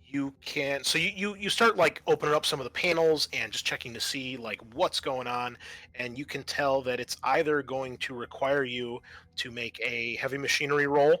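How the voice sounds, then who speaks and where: Guy game mastering a tabletop rpg, bright forceful voice, explains stuff conversationally. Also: medium pitch, fairly even intonation, normal speed, no echo. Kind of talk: running a tabletop roleplaying game.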